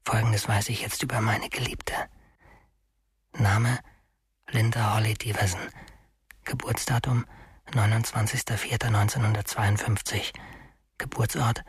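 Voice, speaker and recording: A man's voice: lowish voice, flat delivery, unhurried, long pauses, dry recording, clean studio sound. A man playing a lonely, obsessive killer in a radio drama, confiding intimately and breathily in a brooding inner monologue. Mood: brooding, controlled. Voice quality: breathy, whispering. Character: obsessive, lonely male killer confessing to his beloved victims.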